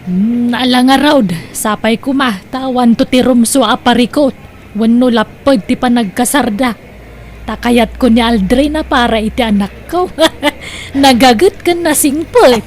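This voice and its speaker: A woman playing an agreeable mother of the bride, hospitable and drawn-out, in an Ilocano comedy drama. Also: fairly high pitch, slight background noise, close to an everyday voice. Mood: agreeable, pleased. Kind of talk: Ilocano radio-style comedy drama acting.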